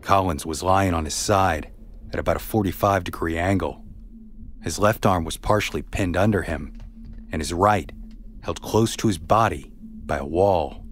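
A man narrating a scripted true story as a podcast host, in a measured, somber way. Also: sits low, slight background noise.

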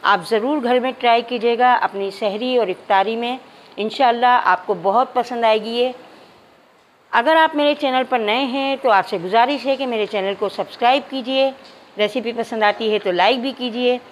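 A woman's voice: fairly high pitch, moderate pace, a little hiss or hum, rough recording. A woman narrating a cooking tutorial step by step, addressing viewers politely. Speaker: cooking channel host.

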